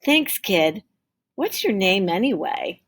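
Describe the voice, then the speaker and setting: A woman voicing a kind, humble homeless man in a children's storybook, speaking gently and plainly. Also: medium pitch, sing-song, slow, breathy edge, pitched above the performer's own voice, heavily acted. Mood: grateful.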